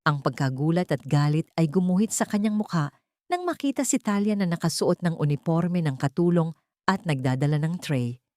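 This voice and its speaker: A woman's voice: low-pitched, animated, normal speed, dark tone, no room sound, clean studio sound. A woman reading a dramatic scripted story as its narrator.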